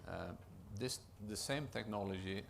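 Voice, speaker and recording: Deep gravelly Norwegian man presenting. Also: background noise. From Norway. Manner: halting, often restarts and repeats words. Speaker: project manager presenting at a conference. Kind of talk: conference presentation.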